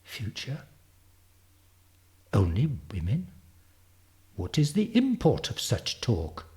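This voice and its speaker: A man voicing a puzzled, suspicious learned gentleman of the past in a storybook, muttering formal questions. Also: mid-range voice, huge pitch swings, slow pace. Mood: bewildered, suspicious. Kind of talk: storybook character reading.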